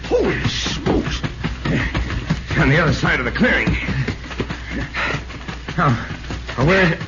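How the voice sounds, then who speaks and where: An actor playing a brash, slangy, big-hearted star ballplayer in a 1940s radio drama. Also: male voice, fairly high pitch, sing-song, takes it slowly, over loud music. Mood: alarmed. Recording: dull, lo-fi old radio, faint noise.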